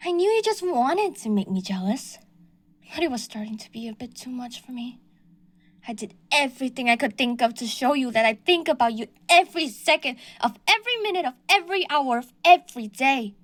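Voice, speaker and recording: A young woman acting out an obsessive stalker who coos endearments in a fairly high voice. She turns sweetness into menace and drops into whispers. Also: lively intonation, fairly quick, a bit bright. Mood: wounded. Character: obsessive delusional female yandere stalker. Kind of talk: audio roleplay.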